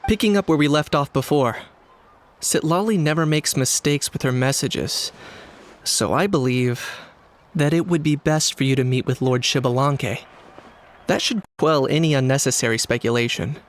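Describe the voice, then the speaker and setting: A man playing a composed, matter-of-fact male advisor in a video game, measured, formal and dryly blunt. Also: mid-range voice, close to an everyday voice. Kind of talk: video game character acting.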